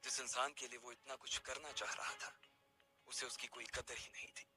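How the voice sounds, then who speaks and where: A man's voice: mid-range voice, level tone, moderate pace, bright, forceful tone, faint music behind. A man narrating a scripted story as its narrator.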